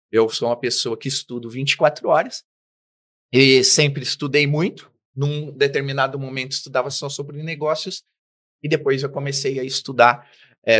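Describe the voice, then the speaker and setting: A middle-aged man, a business guest in an interview, telling his life story with lively acted-out dialogue. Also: mid-range voice. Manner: animated storyteller, acts out quoted dialogue.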